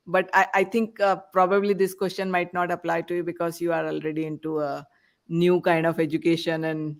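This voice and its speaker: A woman hosting a panel podcast, talking with many pauses and fillers. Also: lowish voice. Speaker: podcast host. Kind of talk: casual panel chat.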